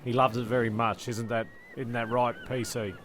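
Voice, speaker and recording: A man's voice: mid-range voice, little pitch movement, moderate pace, a bit bright, a little hiss or hum. A man narrating a comic audio play and speaking to its characters.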